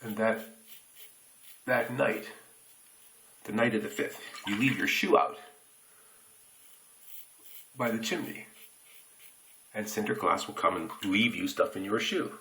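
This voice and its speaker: An American man telling a childhood story in a relaxed, reflective way while shaving, recorded on a phone camera in a bathroom. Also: lowish voice, poor audio. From United States. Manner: reflective, unhurried anecdotes with pauses. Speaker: shaving reviewer.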